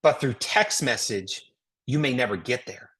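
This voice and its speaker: A woman's voice: extremely low pitch, lively intonation, a little slow, fairly bright tone, husky. A woman with an American accent giving a motivational sales coaching talk as a trainer, emphatic and folksy, with rhetorical questions. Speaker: network marketing trainer.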